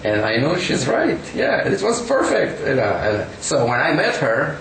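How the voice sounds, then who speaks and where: A man's voice: on the high side, huge pitch swings, a little slow, vocal fry, fairly bright tone. A man being interviewed, talking emphatically with many fillers. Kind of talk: casual recounting. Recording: echoey room, distant mic, faint noise.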